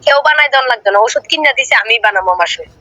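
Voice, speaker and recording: A woman's voice: sits high, lively intonation, racing, sharp tone, no echo, highs cut off. A woman with an eastern Bengali dialect in casual domestic talk on a recorded call. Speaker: wife on a call with her husband. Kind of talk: casual domestic phone chat, arguing.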